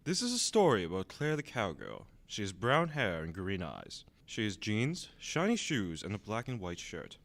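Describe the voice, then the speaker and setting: A man's voice: lowish voice, wildly swooping pitch, moderate pace, vocal fry, hardly any pauses, a bit bright. A man narrating a children's radio drama in a theatrical storybook style.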